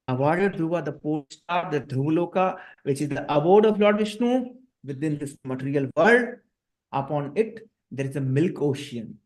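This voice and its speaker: A man's voice: on the high side, a little mellow. A man with an Indian accent teaching a devotional story for children in a didactic manner, often checking in with short tag questions. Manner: didactic, frequent check-in tags. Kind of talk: children's scripture story lesson.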